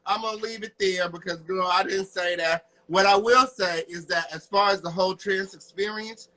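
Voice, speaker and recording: A man's voice: high-pitched, fairly even intonation, normal speed, bright, forceful tone, rough recording. A man hosting a casual, heated discussion, talking in a sassy, emphatic and challenging way. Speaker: host of a discussion show.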